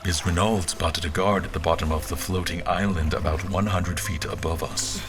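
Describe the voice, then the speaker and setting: An actor playing a wry royal officer, giving measured, literary first-person narration of his journey in an audio drama. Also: deep voice, fairly even intonation, noisy background, natural voice. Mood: wry, descriptive. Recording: music under the narration.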